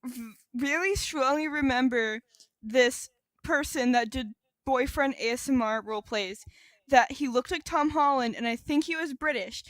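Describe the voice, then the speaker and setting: High-voiced woman in casual podcast chat. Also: normal speed, no echo, poor audio. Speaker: podcast co-host.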